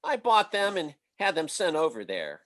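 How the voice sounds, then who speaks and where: A man playing a blustering but soft-hearted husband in an old-time radio comedy, folksy, excitable and bumbling. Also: on the high side, normal speed. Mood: sheepish.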